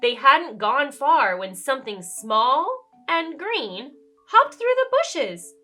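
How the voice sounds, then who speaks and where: A woman reading a children's storybook aloud in an expressive way, speaking directly to young listeners. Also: high voice, slow, soft background music. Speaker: children's read-aloud host. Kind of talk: animated children's storybook narration.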